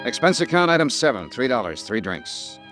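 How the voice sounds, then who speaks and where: Male actor as hard-boiled investigator, wry, crisp delivery, wide-swinging pitch, old radio noir. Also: very animated, over music, close to an everyday voice. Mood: dry, narrating. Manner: crisp, dry, deliberate storytelling. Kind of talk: 1950s radio noir narration and dialogue.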